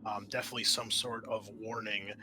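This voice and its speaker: A man improvising narration as game master of a tabletop game, in a halting, conversational way. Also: fairly low pitch, level tone, background noise.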